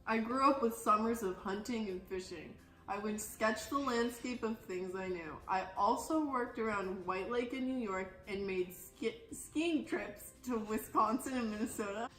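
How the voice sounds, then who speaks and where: A woman's voice: mid-range voice, measured pace, fairly bright tone, lightly acted. A woman playing an earnest, well-travelled male landscape artist in a scripted interview. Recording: echoey room with music underneath.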